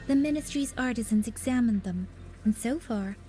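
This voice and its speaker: A woman's voice: on the high side, mellow, faint music behind, lightly acted. A woman voicing a composed game officer. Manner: brisk, dutiful and measured. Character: composed female military officer giving a report. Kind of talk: video game voice acting.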